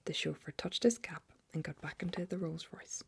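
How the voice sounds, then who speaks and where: Woman, whispered storybook narration, breathy, lowish, soft and even. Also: moderate pace, no echo.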